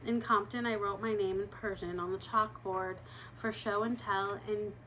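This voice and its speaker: A woman's voice: medium pitch, fairly even intonation, moderate pace, bright, forceful tone, dull audio, a little hiss or hum. A woman in her thirties reading a chapter book aloud in her living room, breaking off for chatty asides to her listeners. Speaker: reader.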